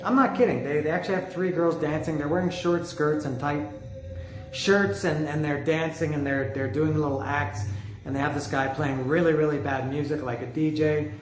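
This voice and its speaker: A middle-aged man hosting a music podcast from home, talking loudly in a casual, rambling way with many restarts. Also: fairly high pitch, fairly even intonation, moderate pace, a little hiss or hum. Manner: chatty, rambling, with frequent restarts; loud voice. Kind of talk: casual monologue. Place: home, street music outside. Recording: echoey room with music outside. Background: music from outside.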